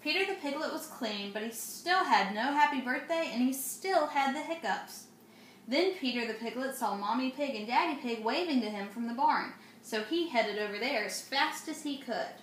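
A woman's voice: high voice, lively intonation, moderate pace, a bit bright. A young adult woman reading and explaining for children, often asking the listener questions. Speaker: storyteller and craft presenter. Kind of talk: children's read-aloud and simple instruction. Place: indoors, home dining room. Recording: roomy, noisy home phone recording.

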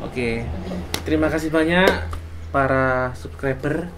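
A man hosting a relaxed, jokey chat in a home living room, holding a handheld mic. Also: a little high, a little slow, noisy background. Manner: relaxed, jokey, mixes Javanese words into his talk. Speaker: host. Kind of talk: casual interview chat.

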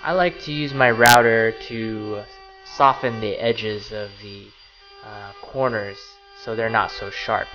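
A man in his thirties hosting a home DIY channel, explaining a woodworking build in a relaxed, casual way with frequent fillers. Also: on the low side, slow pace, muffled recording. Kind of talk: casual how-to narration. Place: small home laundry room, then voice-over over garage workshop footage. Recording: voice over clear background music. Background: background music.

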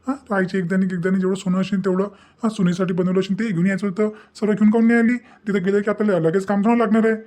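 A man's voice: high voice, fairly even intonation, fast, darkish tone, natural voice. A man voicing a pushy, glib young village boyfriend in a cartoon, with a Vidarbha Marathi accent. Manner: coaxing, glib. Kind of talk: amateur cartoon dialogue.

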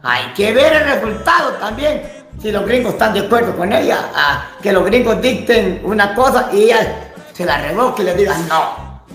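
Older man from el salvador, animated, high gravelly voice in an echoey room with faint music. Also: moderate pace. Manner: animated, emphatic, gestures while arguing. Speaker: self-styled apostle. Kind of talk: casual on-camera interview. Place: small indoor room.

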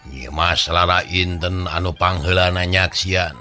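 A male storyteller with a Sundanese accent narrates a folk tale in dramatic oral style. His voice is fairly low and his pace slow, with faint music underneath. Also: steady tone, sharp tone.

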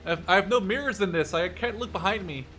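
A young man with a bright, forceful, slightly high voice commentates on his own gameplay. He is excitable and casual, swears freely and talks to himself. There is clear noise behind his voice. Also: fast talker. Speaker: gaming YouTuber. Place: small room at home.

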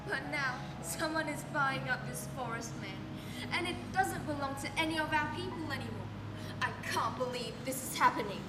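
A woman's voice: sits high, moderate pace, loud music behind the voice. A teenage girl acting in a school stage play with a theatrical delivery. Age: teens. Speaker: student performer. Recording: echoey hall stage recording.

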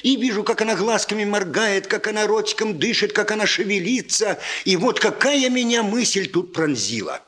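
A man's voice: high voice, animated, moderate pace, hardly any pauses, fairly bright tone. An actor playing a chatty, homely elderly father who grumbles and marvels in a folksy, rambling way, in a 1960s radio play. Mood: musing wonder.

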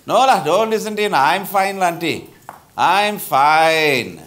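A tactless middle-aged Malaysian lawyer in a stage comedy. His voice sits fairly high and swings up and down with animation, blunt and chatty, full of colloquial particles. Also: expressive, slow pace, fairly bright tone. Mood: friendly, exaggerated concern. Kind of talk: live stage comedy sketch. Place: theatre stage with bar set, live audience. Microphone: lapel mic.

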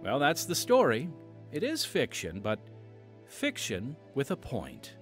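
An American man hosting a devotional podcast in scripted narration, with measured, emphatic, dramatic phrasing. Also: medium pitch, wildly swooping pitch, faint music behind. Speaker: podcast host and preacher.

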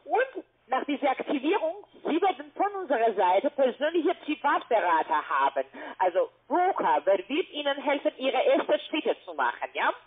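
Woman, very animated intonation, sales caller, questioning tags, narrow phone sound. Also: high voice, normal speed, husky. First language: not German. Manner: ends statements with questioning tags. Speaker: telemarketing caller. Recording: muffled narrow phone line.

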